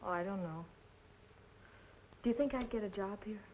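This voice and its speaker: A woman's voice: medium pitch, normal speed, a little hiss or hum, lightly acted. A young woman playing an earnest, lovesick runaway with a plaintive manner, in old film noir style. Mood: downcast, earnest. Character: lovesick, naive young runaway girl hoping to sing. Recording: dull vintage film audio, music behind.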